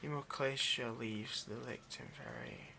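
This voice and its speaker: Man acting slow, gravelly, obsessive vampire. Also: mid-range voice, wildly swooping pitch, pushed and bright, slight background noise, exaggerated voice. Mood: halting, explanatory. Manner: slow, halting, breathy murmurs slipping into whispers. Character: obsessive, hungry male vampire, possessive and seductive. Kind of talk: ASMR roleplay, intimate dramatic monologue.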